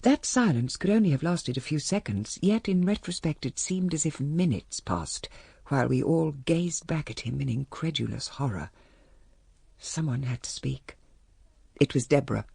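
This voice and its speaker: Older lady of the house, radio drama: gravelly, dry close sound, composed, stoical, restrained. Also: sits low, lively intonation, warm tone, no room sound, heavily acted. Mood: somber, reflective. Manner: measured, restrained. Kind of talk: radio drama acting and narration.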